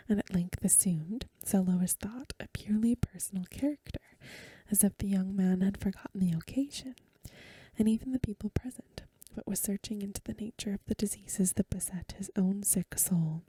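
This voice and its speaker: Breathy whispering woman reading softly. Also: mid-range voice, dry recording. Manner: gentle, hushed, careful reading. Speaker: ASMR host. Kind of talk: soft-spoken ASMR story reading. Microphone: close microphone.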